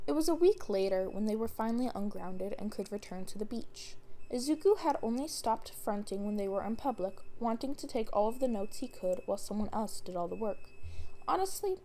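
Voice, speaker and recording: A woman reads fiction aloud expressively, her mellow voice edged with creak. There is faint noise behind her on the recording. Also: mid-range voice. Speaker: fan fiction reader. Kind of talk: expressive audiobook-style story narration.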